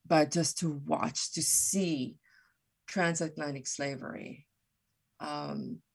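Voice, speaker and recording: Low gravelly-voiced reflective woman. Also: steady tone. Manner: halting, reflective, many fillers and restarts. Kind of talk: casual podcast conversation.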